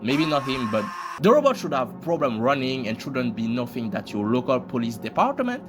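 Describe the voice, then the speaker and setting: A man's voice: medium pitch, big pitch swings, normal speed. A man hosting casual video commentary, informal and joking, with plenty of slang. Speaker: host of reaction commentary videos. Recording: loud music behind the voice. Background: loud music.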